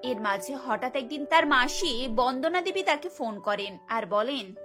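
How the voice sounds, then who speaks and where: A woman narrates a cartoon story from a script. Her voice is high and bright, laid over light background music. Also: animated, unhurried.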